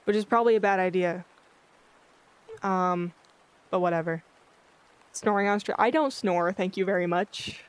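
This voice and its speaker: A livestreamer chatting casually with viewers in a monotone, blunt-sounding way, often losing their train of thought. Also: mid-range voice, moderate pace, pauses often, clear, resonant tone. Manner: rambling, loses track of thoughts. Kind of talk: casual chat with live viewers. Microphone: filtered streaming mic.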